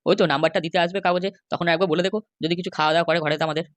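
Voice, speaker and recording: A man's voice: fairly high pitch, steady tone, rapid-fire, no echo, lightly acted. A man voicing a henpecked, pleading middle-aged cartoon husband with a West Bengal accent. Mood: earnest. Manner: wheedling, grumbling. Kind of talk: comic cartoon dialogue.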